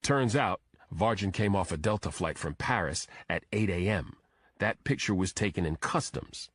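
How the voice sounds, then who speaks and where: A man voicing a terse, low-voiced FBI agent. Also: lively intonation, normal speed, fairly bright tone, no echo, clean studio sound. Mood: official, intent. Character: serious male FBI agent briefing a colleague. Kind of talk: audiobook character dialogue.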